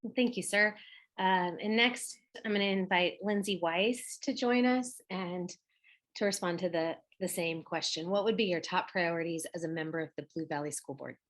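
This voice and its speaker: A woman's voice: on the low side, moderate pace, a bit bright. A woman moderating a candidate forum, politely introducing each speaker over a video call. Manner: polite, procedural.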